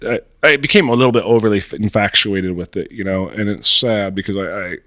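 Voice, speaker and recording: Low-pitched man in his forties, chatting casually. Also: brisk, sharp tone, no echo, clean studio sound. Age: 40s. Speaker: podcast guest. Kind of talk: casual conversational storytelling.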